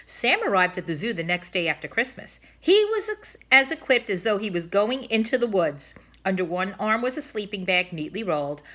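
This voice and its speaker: A woman reading a children's storybook aloud in an expressive way, as a teacher would. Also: mid-range voice, normal speed, few pauses.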